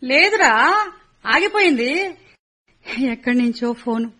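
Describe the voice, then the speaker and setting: A woman's voice: high voice, lively intonation, measured pace, sharp tone, full character voice. An actress playing a caring middle-aged mother of three in a radio play, scolding fondly. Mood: wistful. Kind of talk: radio drama acting.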